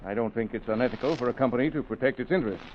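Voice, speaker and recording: An actor playing a formal, blunt, earnest middle-aged company manager in a 1940s radio drama. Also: male voice, medium pitch, rapid-fire, natural voice. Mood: earnest, uneasy. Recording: dull, faintly noisy vintage radio recording.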